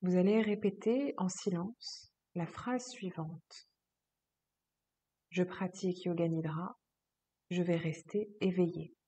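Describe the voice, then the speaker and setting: A woman leads a guided relaxation as a yoga teacher. Her voice is slightly low, very mellow and soft, with a breathy, husky edge. She gives each instruction slowly and soothingly, leaving long pauses between phrases. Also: fairly even intonation.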